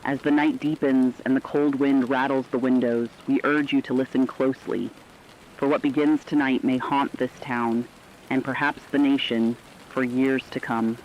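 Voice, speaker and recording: A man playing a solemn radio co-anchor, giving measured, foreboding warnings in a scripted horror broadcast. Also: medium pitch, flat delivery, lightly acted. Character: ominous radio co-anchor warning of an ancient legend. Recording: muffled lo-fi audio, faint noise.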